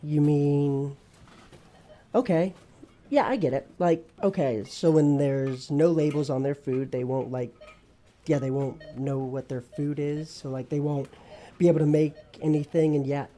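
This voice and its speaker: A man playing Famine as a sulky, inarticulate young dude in a comedic audio drama, halting and rambling in slang. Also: fairly high pitch, fairly even intonation, dark tone, slight background noise, lightly acted. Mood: confused, defensive.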